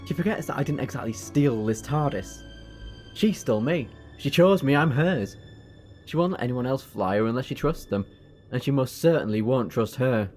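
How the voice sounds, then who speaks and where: A man plays a commanding, boastful rebel time-traveller in a sci-fi audio drama. His voice sits at a middle pitch, sure of itself, over faint music. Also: lively intonation, normal speed, darkish tone, close to an everyday voice. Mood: self-assured. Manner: self-assured, boastful.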